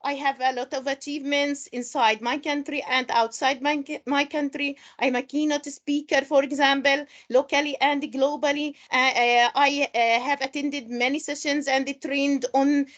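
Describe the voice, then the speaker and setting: A woman's voice: sits high, little pitch movement, moderate pace, hardly any pauses, fairly bright tone, muffled recording. A woman from Palestine presenting an online teacher-training webinar, patiently greeting participants and repeating instructions.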